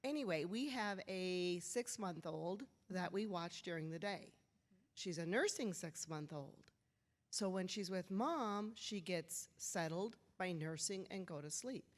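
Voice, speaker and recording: A woman with a big, carrying voice asks the panel a question from the audience of a church auditorium. She talks in a chatty, easygoing way, and her voice sits in the middle of the usual range for women. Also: mid-range voice. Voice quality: big, loud voice. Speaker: audience member asking a question.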